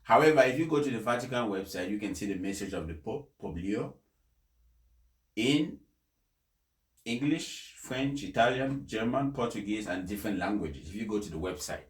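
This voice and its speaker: A man who speaks as a presenter reading aloud to followers, in a deliberate, emphatic and formal way. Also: lowish voice, animated. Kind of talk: formal read-aloud address with informal introduction. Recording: echoey, reverberant room.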